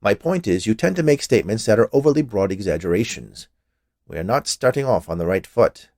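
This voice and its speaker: A man's voice: lowish voice, animated, fairly quick, a little mellow, no echo, clean studio sound. A man voicing a stern, fussy small health inspector with a curt, pedantic manner in a storybook tale. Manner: officious, pedantic, curt, defensive.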